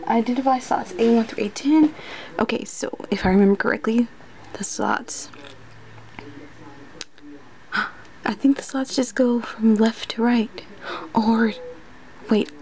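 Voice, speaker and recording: A woman doing an ASMR roleplay in soft speech that slips into whispers, muttering hesitantly to herself like an unsure student. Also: a little high. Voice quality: soft, often breathy whispering. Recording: noisy close recording with clicks.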